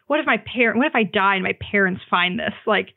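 A woman's voice: mid-range voice, fast, creaky, narrow, tinny audio. A woman guest on a podcast, chatting informally and thinking aloud with emphatic turns of phrase. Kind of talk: casual conversational podcast chat.

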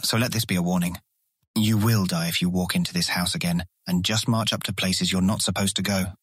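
A man's voice: deep voice, fairly even intonation, fairly quick, fairly bright tone, slightly rough, no room sound, studio quality. An actor playing a stern, suspicious guild guardsman in an audiobook drama, curt and commanding, short on patience. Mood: angry warning.

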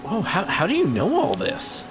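A woman playing a hesitant, curious young contestant in a comedic audio drama, speaking haltingly and casually. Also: mid-range voice, huge pitch swings. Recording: music behind the voice.

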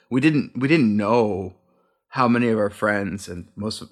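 Low-voiced man chatting in an interview. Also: gravelly, fairly bright tone. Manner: chatty, rambling, self-correcting. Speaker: podcast co-creator, interview guest. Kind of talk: casual interview answers.

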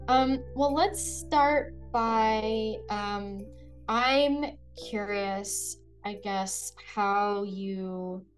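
A woman's voice: mid-range voice, clean tone, rough recording. A woman hosting a podcast, speaking casually with many fillers and restarts. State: lost voice, whispering. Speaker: podcast host.

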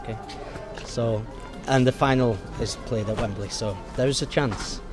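A man's voice: mid-range voice, unhurried, over music. A man giving casual, friendly-paced explanations outdoors at a football ground.

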